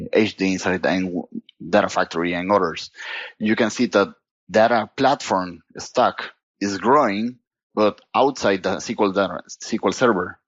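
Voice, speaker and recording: Lo-fi Costa Rican man, halting. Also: low-pitched, fairly bright tone, dry recording. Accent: Costa Rican Spanish. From Costa Rica. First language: Spanish. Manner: halting, frequent restarts and "you know", laughs easily. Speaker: guest data platform expert. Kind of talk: casual podcast interview.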